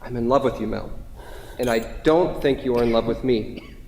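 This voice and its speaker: A man's voice: mid-range voice, moderate pace, creaky, a little mellow, lightly acted. A man in a staged play reading, playing an anxious, hesitant, over-analytical boyfriend who speaks earnestly in an echoey hall. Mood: pleading. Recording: echoey theatre hall, some background noise.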